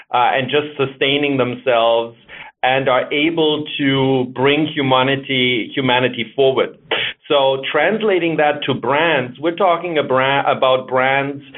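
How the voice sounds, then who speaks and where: A middle-aged man with a German accent, a marketing executive and author, giving measured, explanatory interview answers and thinking aloud as he goes. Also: mid-range voice. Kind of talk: interview answers over the phone. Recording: narrow tinny phone line.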